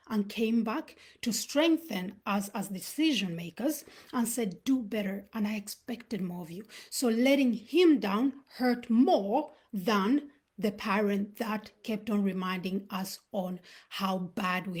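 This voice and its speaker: Husky-voiced woman giving a reflective talk. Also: mid-range voice. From Uganda, raised in Sweden. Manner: reflective, storytelling with pauses. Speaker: host. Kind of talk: motivational live talk.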